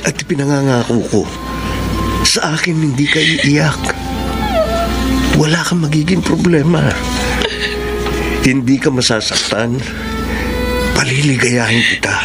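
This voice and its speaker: A man's voice: fairly high pitch, measured pace, pauses often. An actor playing a persistent suitor of a married woman in a Filipino radio drama, earnest, tender and pleading. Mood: tender, ardent. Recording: radio drama with loud music bed.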